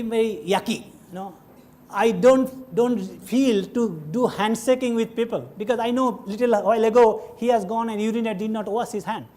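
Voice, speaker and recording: A middle-aged Indian man with a Bengali accent lectures from a stage in a large hall. His voice sits high for a man. He talks loosely and chattily, wanders off into side stories, and checks in with the room using "okay?" and "you understand?". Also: mellow. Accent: Indian English, Bengali. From India (West Bengal). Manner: conversational, digressive, asks the audience questions. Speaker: guest lecturer. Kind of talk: classroom lecture.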